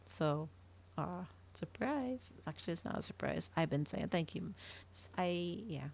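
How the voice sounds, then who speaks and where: Woman streamer with a low, creaky voice. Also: expressive, a little slow, darkish tone. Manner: rambling, thinking aloud. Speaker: livestreamer. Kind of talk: casual chat to stream viewers.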